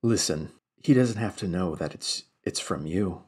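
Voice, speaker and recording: Man playing an earnest, low-voiced investigator. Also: expressive, moderate pace, a little mellow, slightly rough. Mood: worried, pleading. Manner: stammering, polite, persuasive. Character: earnest, worried, pleading male investigator.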